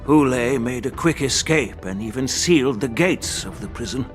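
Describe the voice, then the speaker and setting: An actor playing a calm, shrewd veteran general in a video game, speaking in a measured, instructive way. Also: male voice, mid-range voice, faint music behind, lightly acted. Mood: composed. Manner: measured, deliberate, gently instructive. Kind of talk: scripted video game acting.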